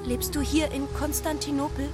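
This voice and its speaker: A woman's voice: high voice, moderate pace, lightly acted. An actress playing a naive, earnest female artificial intelligence in a radio drama, questioning everything very literally. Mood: curious. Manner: literal, questioning, repeats herself. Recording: loud music behind the voice.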